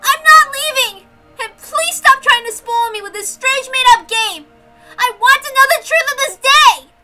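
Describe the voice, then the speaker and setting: A woman voicing a furious, stubborn six-year-old girl in a visual novel read-aloud, with shrill, indignant shouting. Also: unhurried, loud music behind the voice. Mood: indignant, defiant.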